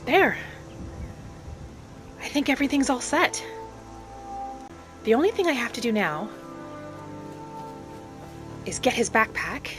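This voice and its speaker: A woman narrating a game roleplay in character as a gentle, hopeful young elf adventurer, softly musing aloud. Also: a little high, sing-song, takes it slowly, pauses often. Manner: soft, musing, speaking thoughts aloud. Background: music.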